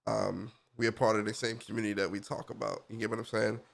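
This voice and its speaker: A man's voice: on the low side, level tone, fast, vocal fry. A young man with a stuffy, congested voice from a cold, co-hosting a casual gaming podcast in a laid-back, slangy way. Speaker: podcast co-host. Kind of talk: casual chat.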